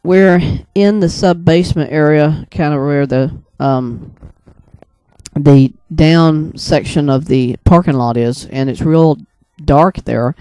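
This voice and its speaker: Slow, mellow man telling a rambling story. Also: on the high side, clear, resonant tone, no room sound. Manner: hesitant, rambling, pauses often mid-sentence. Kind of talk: casual first-person storytelling, recounting a dream.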